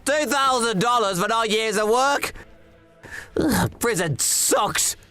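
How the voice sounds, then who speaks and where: A man's voice: very high-pitched, expressive, takes it slowly, sharp tone, full character voice. A man voicing a vicious, sneering young robber in a manga drama. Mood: disgruntled, scornful. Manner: sneering, menacing. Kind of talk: dubbed manga villain acting. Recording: music behind the voice.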